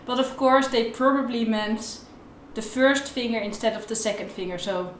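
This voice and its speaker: A woman's voice: a little high, normal speed, distant mic, faint music behind. Young woman teaching, echoey room. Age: young adult. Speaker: violin teacher. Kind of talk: instructional tutorial talk, explaining to camera. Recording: roomy, echoey indoor sound.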